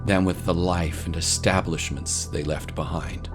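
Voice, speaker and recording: Low-voiced man narrating a story over music. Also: steady tone, measured pace. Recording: voice over prominent music bed.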